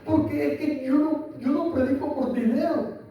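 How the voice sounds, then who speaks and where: A man's voice: extremely high pitch, fairly even intonation, normal speed, dark tone. An older man with a Puerto Rican accent preaching a sermon as pastor, emphatic and repeating his phrases. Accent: Puerto Rican Spanish. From Puerto Rico. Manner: emphatic, repeats phrases, asks listeners "¿entiendes?". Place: church hall. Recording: echoey hall, voice from far off, faint room noise.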